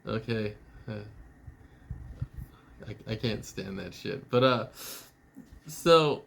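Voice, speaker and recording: A man giving a casual, rambling video message to a friend, sounding a little tired and out of it, halting and teasingly irreverent, with some swearing. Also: lowish voice, lively intonation, creaky, slight background noise. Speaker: spiritual vlogger.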